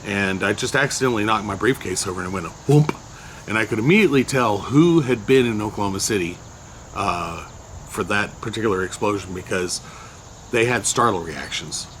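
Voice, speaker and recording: An older man with a loud voice casually recounting a memory, unhurried and rambling with many fillers. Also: on the low side, lively intonation, slight background noise. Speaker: storyteller recounting a personal memory. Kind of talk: casual spoken recollection.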